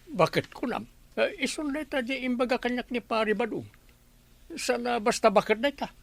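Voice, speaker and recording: A comic Ilocano villager in a radio drama, excitable and greedy. His voice is pitched extremely high, almost in a woman's range. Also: a little fast, creaky, dry recording, full character voice. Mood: curious, excited.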